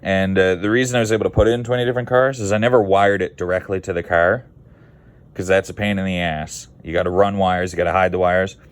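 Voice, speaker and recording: A man's voice: sits low, fairly even intonation, quick pace, clear, resonant tone. A Canadian man giving a casual, rambling how-to vlog in a laid-back, blunt, digressive way. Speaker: car video creator.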